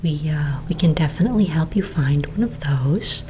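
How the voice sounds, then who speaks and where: A woman voicing a courteous vampire real estate agent in a soft-spoken, mostly whispered ASMR roleplay, polite, unhurried and attentive. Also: low-pitched, expressive, noisy background. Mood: soothing, helpful.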